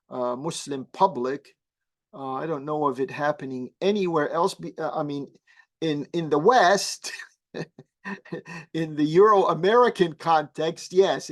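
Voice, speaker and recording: A man whose first language is Arabic, a guest imam explaining religious teaching slowly and thoughtfully, pausing often with fillers. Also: on the high side, fairly bright tone. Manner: measured, halting with many fillers.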